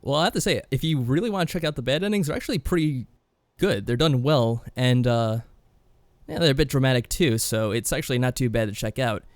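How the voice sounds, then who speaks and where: Male podcast co-host, quick casual delivery, medium pitch, dry close sound. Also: dry recording. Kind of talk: casual discussion and review.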